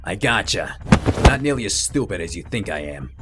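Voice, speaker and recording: Man voicing a smug, low-voiced comic-book villain. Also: big pitch swings, normal speed, over loud music. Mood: taunting. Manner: smug, taunting. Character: gloating, wisecracking supervillain henchman, adult man.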